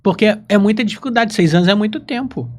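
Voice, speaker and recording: A man's voice: sits high, fast talker, a little mellow. A man with a Brazilian accent hosting a casual, conversational interview. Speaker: podcast host, interviewer.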